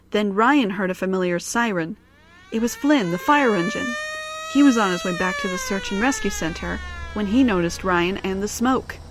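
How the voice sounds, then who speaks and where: A woman's voice: medium pitch, a little hiss or hum. A woman reading scripted storybook narration for an audio drama.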